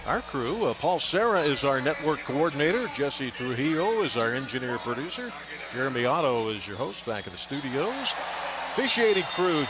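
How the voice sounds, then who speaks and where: Higher-pitched American male sports radio announcer. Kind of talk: live pregame sports broadcast commentary.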